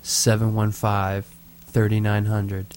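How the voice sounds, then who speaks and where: A man's voice: fairly low pitch, monotone, slow pace, darkish tone, clear, resonant tone. Offhand, profane man co-hosting. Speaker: podcast co-host. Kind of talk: crude casual chat.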